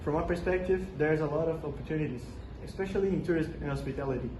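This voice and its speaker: A young man with a slightly high voice reads prepared interview answers at a steady pace. The room is echoey, and clear background noise runs underneath. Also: quick pace. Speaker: role-play interviewee. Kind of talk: scripted school role-play.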